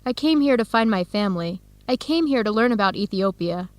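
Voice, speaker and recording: An actress playing a regretful but determined young female researcher in a radio drama, speaking in short, deliberate sentences. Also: mid-range voice, animated, no room sound, close to an everyday voice. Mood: regretful, resolved. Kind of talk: English-learning radio drama acting.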